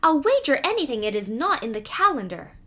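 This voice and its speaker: A woman's voice: sits high, very animated, brisk, pushed and bright, voice pushed up, exaggerated voice. A woman voicing a small, trusting, anxious storybook mouse who speaks in an earnest, wondering, exclaiming way. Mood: astonished, troubled.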